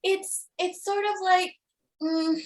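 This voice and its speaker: A woman's voice: very high-pitched, steady tone, slow. A woman hosting a podcast interview in casual, opinionated and emphatic conversation.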